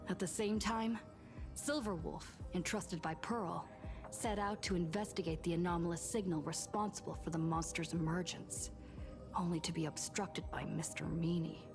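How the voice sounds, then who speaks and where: A woman playing a composed young game heroine, narrating past events in a measured, serious scripted style. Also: lowish voice, lightly acted. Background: music.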